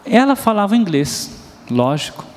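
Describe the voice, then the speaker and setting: Brazilian preacher in a reverberant church. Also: male voice, high voice, sing-song, normal speed. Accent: Brazilian Portuguese. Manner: storytelling, asks the congregation questions, sings bits of nursery rhymes. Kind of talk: sermon. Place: church hall. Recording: long hall reverb.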